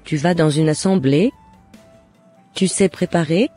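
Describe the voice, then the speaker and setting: Woman acting older mother, husky mellow voice, composed, questioning, discerning yet approving, cartoon drama. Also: low-pitched, unhurried, soft background music. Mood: inquiring.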